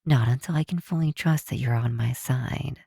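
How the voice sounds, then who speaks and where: A woman playing a possessive supervillain captor in an intimate ASMR roleplay, speaking in a measured, softly menacing yet reassuring way. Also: very deep voice, vocal fry, slightly rough, no room sound, studio quality, heavily acted. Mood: firm, insistent. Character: possessive female supervillain captor, coaxing and protective. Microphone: close microphone in a dry space.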